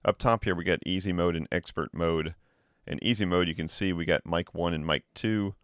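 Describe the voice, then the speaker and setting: A man explaining and demonstrating an audio device in a relaxed, thinking-aloud product review. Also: sits low, moderate pace, clear, resonant tone, no echo, clean studio sound. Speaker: tech reviewer. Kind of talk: casual product review demo.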